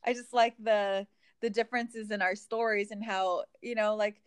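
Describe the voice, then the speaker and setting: A woman who grew up in Hawaii talks through her thoughts in a relaxed conversation. She circles back on her words, with plenty of fillers and restarts. Her voice sits at an ordinary pitch and the recording is close and dry. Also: moderate pace, smooth, dry recording. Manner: reflective, many fillers and restarts. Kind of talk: casual conversation.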